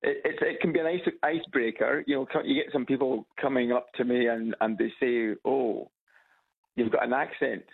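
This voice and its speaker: A man's voice: medium pitch, fairly even intonation, fairly quick, gravelly, slightly rough. A man with an Edinburgh Scottish accent calling in to a radio show, hesitant and repeating his words as he chats. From Scotland. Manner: hesitant, repeats words, chuckles at own stories. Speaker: caller. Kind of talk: casual phone chat. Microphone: phone on loudspeaker. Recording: tinny phone line.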